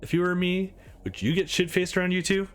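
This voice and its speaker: A man's voice: high voice, steady tone, brisk, fairly bright tone, clean tone, soft background music, voice pushed up. A man voicing the guarded, wisecracking young hero of a visual novel in a dry, blunt way. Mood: casual, wry.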